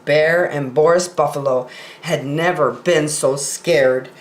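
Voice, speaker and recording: A woman reading picture books aloud expressively for children, with measured dramatic pauses, in a roomy space. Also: low-pitched, steady tone. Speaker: children's story time reader.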